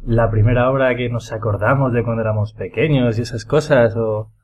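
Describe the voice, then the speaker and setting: Man, accent from Spain, mid-pitched, jokey casual podcast panelist, slight background noise. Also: fairly even intonation, fairly quick, a little mellow, highs cut off. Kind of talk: casual group chat.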